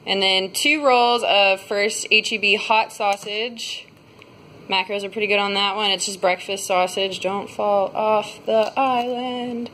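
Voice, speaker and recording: A woman's voice: mid-range voice, steady tone, measured pace, a bit bright, slight background noise. A woman in her twenties chatting casually into her phone camera for a grocery haul vlog, rambling with lots of fillers. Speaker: vlogger. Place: parked car, then a home kitchen. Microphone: phone camera at arm's length.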